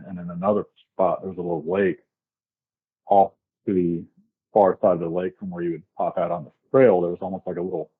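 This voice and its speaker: An American man from the southern US, casually telling a first-hand story as an interview guest, descriptive and a little halting. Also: low voice, breathy edge. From southern United States, grew up in Florida. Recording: tinny, band-limited remote call.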